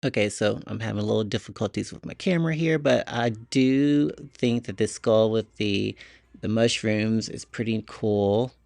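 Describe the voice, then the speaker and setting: A man's voice: fairly low pitch, normal speed, vocal fry, a little mellow, no room sound. A man hosting a casual shopping vlog, talking through what he sees as he goes. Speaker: vlog host. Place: inside a retail store.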